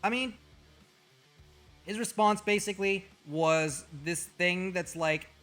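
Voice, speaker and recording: A man streaming as a VTuber, joking and trash-talking with over-the-top, profane bragging, his voice a little hoarse. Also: sits high, takes it slowly, a bit bright, soft background music. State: slightly hoarse. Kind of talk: comedic livestream banter.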